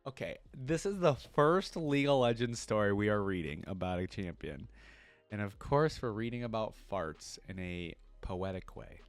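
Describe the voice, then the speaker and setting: A man's voice: on the low side, animated, normal speed, no echo. A man giving casual reaction commentary as a video host, thinking aloud in an informal way.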